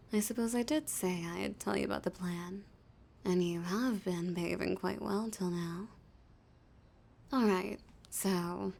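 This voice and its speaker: Woman acting a slow, husky, seductive villainess. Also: medium pitch, darkish tone. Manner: slow, teasing, often dropping into whispers. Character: flirty, dominant, teasing female villain holding a hostage. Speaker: audio roleplay voice actor. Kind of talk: intimate audio roleplay acting.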